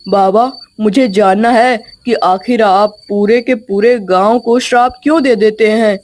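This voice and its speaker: A woman's voice: medium pitch, fairly even intonation, unhurried, a little mellow, lightly acted. A woman voicing an earnest, brave young village boy in a storybook tale, polite but insistent and pleading. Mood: nervous, brave.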